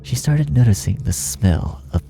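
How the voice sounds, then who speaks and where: A man narrating a horror story from a script in a dramatic, gravelly voice that often sinks toward a breathy hush. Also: mid-range voice, lively intonation, a little slow, over music. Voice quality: gravelly, often dropping to breathy near-whisper.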